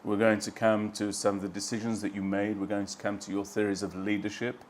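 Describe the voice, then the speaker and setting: A man hosting a documentary, narrating in a measured, solemn way and then interviewing a guest. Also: fairly low pitch, monotone. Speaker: host. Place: outdoors at a border lookout.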